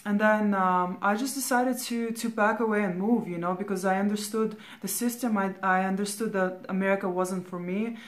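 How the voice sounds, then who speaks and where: A woman in her thirties, originally from Latvia, talks through spiritual advice in a loose, rambling monologue at a middling pitch. She keeps checking in with her listener, tacking "right?" or "okay?" onto almost every phrase and restarting sentences along the way. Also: fairly even intonation, normal speed, hardly any pauses. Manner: rambling, with many fillers and restarts. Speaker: spiritual vlogger.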